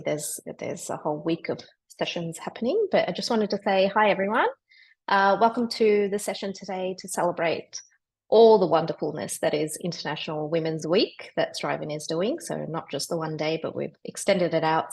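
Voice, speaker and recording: Low, husky woman hosting, slightly creaky. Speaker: panel host. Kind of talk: conversational event introduction. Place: video call webinar.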